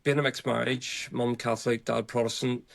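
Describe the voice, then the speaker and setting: A man in his forties with a Northern Irish accent, telling his own story as an interview guest in a halting, conversational way. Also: medium pitch, level tone, gravelly. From Northern Ireland. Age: 40s. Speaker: podcast guest, retired police officer. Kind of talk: reflective personal interview.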